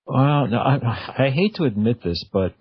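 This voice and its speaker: A man's voice: mid-range voice, expressive, a little slow, slightly rough, no room sound. A man hosting a radio show, chatting casually and hesitantly as he searches for words. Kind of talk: casual interview chat. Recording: dull, lo-fi, dry radio audio.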